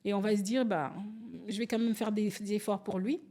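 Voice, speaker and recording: A woman in her forties, from Angola, speaking candidly as a podcast guest, telling stories and acting out quotes with lively restarts. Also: mid-range voice, fairly quick. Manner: animated, storytelling, restarts and acts out quotes. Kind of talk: candid conversational interview.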